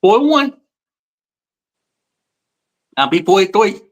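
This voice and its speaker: A man's voice: sits high, sing-song, takes it slowly. A man in his forties, a guest telling his story in casual chat, rambling and repeating himself, with informal colloquial speech, over a video call. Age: 40s.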